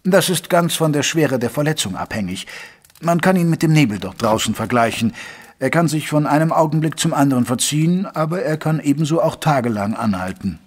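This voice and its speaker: An actor playing a caring gentleman physician who narrates a German audio drama in a measured, literary way. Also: a little high, lively intonation. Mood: earnest.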